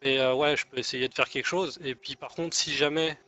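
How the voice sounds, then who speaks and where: A man's voice: medium pitch, fairly even intonation, a little fast, bright, forceful tone, natural voice. A man playing a deferential gang negotiator in an online roleplay, speaking in a hesitant, rambling, conciliatory way. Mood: hesitant, bargaining. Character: deferential male gang negotiator offering an alliance. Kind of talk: improvised online roleplay dialogue. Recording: muffled lo-fi game voice chat.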